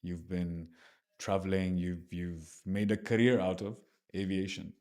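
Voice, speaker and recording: A man's voice: low-pitched, little pitch movement, a little slow, a little mellow. A man hosting a podcast interview, reading a scripted intro and then asking casual questions with fillers. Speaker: podcast host.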